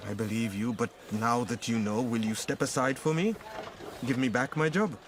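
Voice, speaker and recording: An actor plays a wounded, distrustful man in a radio play, a wreck of a former lover. His mid-range voice is intense and questioning. There is clear noise in the background of the recording. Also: mid-range voice, moderate pace, close to an everyday voice. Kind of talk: radio drama acting.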